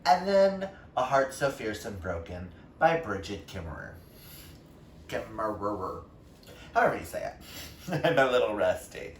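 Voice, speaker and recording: A man's voice: on the low side, very animated, unhurried, recorded from a distance. A man chatting casually to viewers about books in a gushing, emphatic way. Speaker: book reviewer on a vlog. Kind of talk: casual book chat to viewers. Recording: echoey room with faint background noise.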